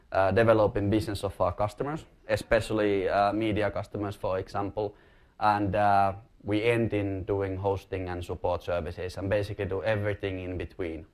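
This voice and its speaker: Low-voiced man with a Finnish accent. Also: darkish tone. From Finland. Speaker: company chief executive giving a talk. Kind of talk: informal conference presentation.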